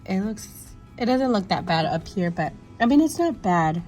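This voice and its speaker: A woman's voice: mid-range voice, big pitch swings, moderate pace, a little mellow, faint music behind, rough recording. A young woman with a stuffy, sore throat chatting casually to her phone camera, rambling with fillers. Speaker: vlogger. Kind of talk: casual vlog chat to camera. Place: indoors at home, then outdoors in snow. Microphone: phone held at arm's length.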